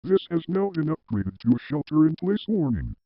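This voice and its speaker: A man's voice: on the high side, sing-song, moderate pace, dry recording, dull audio. A man reading a scripted emergency alert announcement in a formal manner.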